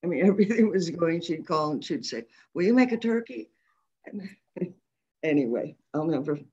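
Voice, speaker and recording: A woman's voice: fairly low pitch, animated, normal speed, dark tone, husky. A woman reminiscing casually with others on a group video call. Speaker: former member of a women's arts colony. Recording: slightly dull video-call audio.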